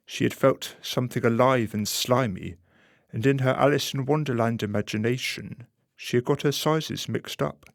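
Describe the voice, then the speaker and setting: A male narrator tells a scripted story for a radio play. His voice has a gravelly creak and a wry, measured manner, recorded very dry. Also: medium pitch, no room sound.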